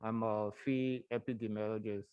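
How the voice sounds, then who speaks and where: A man's voice: fairly low pitch, level tone, moderate pace, smooth, no room sound. A Liberian man introduces himself formally at a meeting. His voice is resonant and level, recorded dry. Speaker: health official, field epidemiologist. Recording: slightly dull meeting audio.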